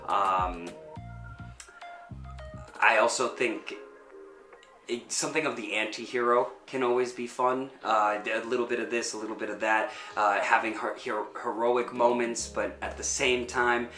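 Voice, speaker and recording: A man's voice: mid-range voice, steady tone, measured pace, bright, forceful tone. A man giving thoughtful, reflective interview answers as a performer. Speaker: performer and fight choreographer. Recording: roomy echo with music underneath. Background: background music.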